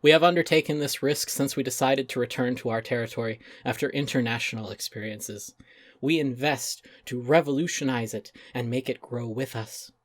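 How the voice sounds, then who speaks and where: A man voicing a pompous, self-serious male avant-garde chef in a dramatic reading, portentous and full of pauses. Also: mid-range voice, dry recording, clean studio sound, natural voice. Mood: grandiose, earnest. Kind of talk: dramatic reading of an open letter.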